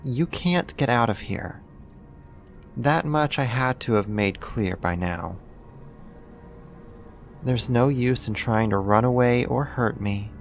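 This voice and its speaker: A performer voicing a possessive yandere neighbor turned kidnapper in an intimate ASMR roleplay, coaxing and doting with a quiet menace and deliberate pauses. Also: medium pitch, soft background music. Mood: calm menace.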